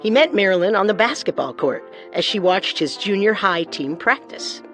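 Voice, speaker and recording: A woman's voice: medium pitch, lively intonation, normal speed, a bit bright, faint music behind. A woman hosting a podcast with scripted true-crime narration. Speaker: podcast co-host.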